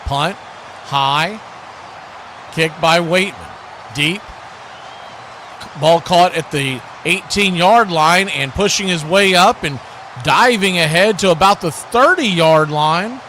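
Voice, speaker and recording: A man's voice: sits high, slow pace, long pauses, pushed and bright, slight background noise. A man doing live football radio play-by-play in short, clipped, conversational calls. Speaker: play-by-play announcer.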